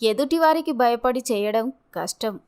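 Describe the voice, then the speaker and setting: A kindly village leader's wife in a storybook drama , acted by a woman. She advises calmly and gently in a high-pitched, very clear voice. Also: moderate pace, close to an everyday voice. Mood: kind, advising.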